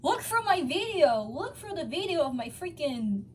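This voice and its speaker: A woman's voice: high-pitched, big pitch swings, moderate pace, slight background noise. A woman hosting a dramatic fan fiction reading, theatrical but casual, sometimes stumbling over words. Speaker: story reader, channel host.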